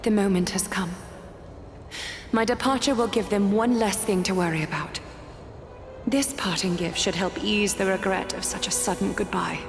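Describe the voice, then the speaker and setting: A woman's voice: mid-range voice, moderate pace, gravelly, lightly acted. A regal, selfless demigod voiced by a woman in a fantasy game, speaking with formal composure. The voice rings with long reverb over music. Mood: wistful, resigned. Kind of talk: video game voice acting. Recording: echoey reverberant hall effect.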